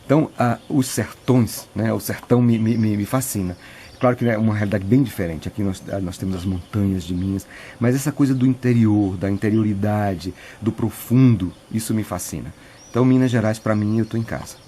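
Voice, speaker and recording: Middle-aged priest, low, warm voice, reflective and hesitant, light background hiss. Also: male voice, brisk. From Piauí, Brazil. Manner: thoughtful, many restarts and filler "né". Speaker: retreat preacher, priest. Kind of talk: reflective interview answers.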